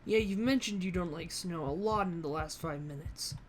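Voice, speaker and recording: A woman's voice: on the low side, moderate pace, a little hiss or hum. A woman giving casual, wry gameplay commentary, sometimes speaking in character as she plays. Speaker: let's play host, gamer. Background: game music.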